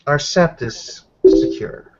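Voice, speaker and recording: Slow-spoken, guarded werewolf investigator played by a man, hesitant and deliberate, tabletop roleplay, lo-fi recording. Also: darkish tone, close to an everyday voice. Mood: guarded, sceptical. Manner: deliberate, hesitant, self-correcting. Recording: dull lo-fi voice recording.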